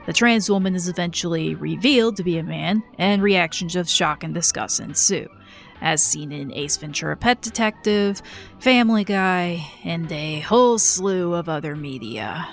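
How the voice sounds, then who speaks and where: A woman narrating a scripted video essay in a measured, earnest way. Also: lowish voice, lively intonation, soft background music.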